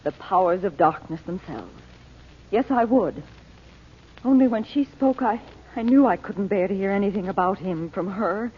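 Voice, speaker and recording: A woman's voice: mid-range voice, expressive, moderate pace, breathy edge. An actress playing a frightened, haunted wife and mother in an old radio drama, pleading and emotional. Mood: shaken. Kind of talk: 1940s radio acting. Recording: tinny old radio transcription.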